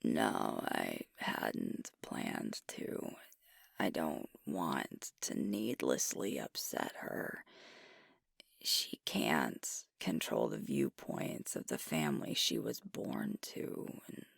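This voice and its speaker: A woman acts out a sweet alpha werewolf girlfriend who is hard on herself, in an intimate ASMR roleplay. Her breathy voice often slips into a whisper and is thick with creak. She talks very slowly, with tender, hesitant pauses, in a close, dry sound. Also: a bass voice, sing-song, no room sound, heavily acted. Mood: earnest, resolute. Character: sweet, self-critical alpha werewolf girlfriend, burdened pack leader.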